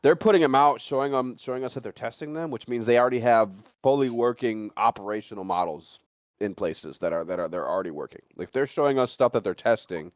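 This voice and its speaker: A man's voice: medium pitch, fast, dry recording, studio quality. A man hosting a livestream, chatting casually in a rambling, slangy way with frequent swearing. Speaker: livestream host. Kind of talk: casual chat.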